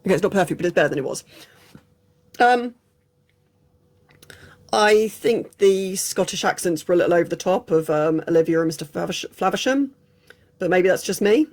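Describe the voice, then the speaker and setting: A woman's voice: fairly low pitch, lively intonation, normal speed, long pauses, a little mellow. An English woman chatting casually through an unscripted film review, frank and prone to digressions. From England. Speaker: film reviewer, channel host.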